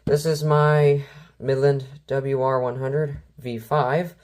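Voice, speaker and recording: A man's voice: mid-range voice, level tone, slow, a little mellow. A man giving a casual, rambling vlog-style showcase as an enthusiastic collector, often stumbling over his words. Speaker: collector showing weather radios and scanners.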